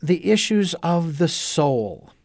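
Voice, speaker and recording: A man's voice: on the high side, very animated, takes it slowly, creaky, a little mellow, no room sound. Rambling radio host man, dry studio sound. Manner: rambling, many ums and uhs, earnest. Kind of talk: casual talk radio chat.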